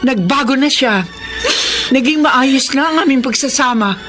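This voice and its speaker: A woman's voice: high-pitched, moderate pace, natural voice. A woman playing a guarded, indignant wife who firmly defends her family in a radio melodrama. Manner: firm, dramatic. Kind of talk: Filipino radio melodrama acting. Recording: music behind the dialogue.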